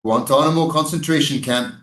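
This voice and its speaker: An actor playing an outraged, sardonic prisoner under interrogation in a staged play reading, exclamatory and sarcastic. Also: male voice, a little high, fairly quick, fairly bright tone, close to an everyday voice. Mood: outraged, mocking. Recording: roomy, echoing stage sound.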